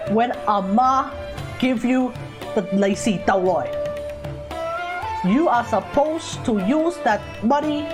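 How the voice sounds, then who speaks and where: A woman voicing a scolding older Cantonese auntie with a thick Hong Kong English accent, drawling as she lectures. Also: a little high. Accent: Hong Kong English, put on.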